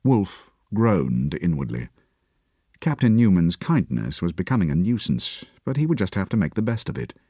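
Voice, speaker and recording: A man reading an audiobook in a measured, expressive storytelling voice. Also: low voice, breathy edge, no echo, studio quality. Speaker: audiobook narrator. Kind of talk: scripted narration.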